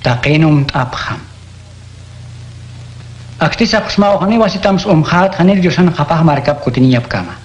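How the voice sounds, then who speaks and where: Un hombre interpretando a Jesús, maestro sereno. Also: male voice, on the high side, animated, unhurried, pauses often, dull audio. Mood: teaching. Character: Jesus, calm adult male teacher. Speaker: voice actor. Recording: echoey room.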